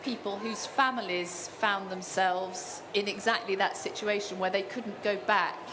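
Softly probing woman interviewer, noisy room. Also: mid-range voice, fairly even intonation, unhurried. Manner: gentle, searching questions with fillers. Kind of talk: interview questions.

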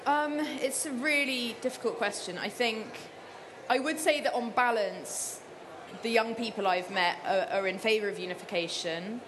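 A woman's voice: a little high, moderate pace. Thoughtful woman author, noisy room. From Cyprus. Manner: thoughtful, with many fillers and restarts. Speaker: author guest. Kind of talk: reflective interview answers.

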